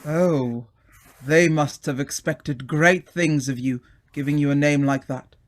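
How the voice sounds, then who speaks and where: Actor playing an affable, self-assured gentleman. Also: a little high, measured pace, natural voice. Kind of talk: old-time radio drama acting.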